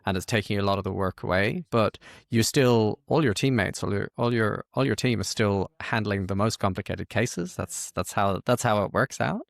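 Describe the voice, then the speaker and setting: A man's voice: fairly low pitch, normal speed, creaky, hardly any pauses, dry recording, studio quality. A man hosting an interview podcast, conversationally summing up what his guest said and asking questions. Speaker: podcast host.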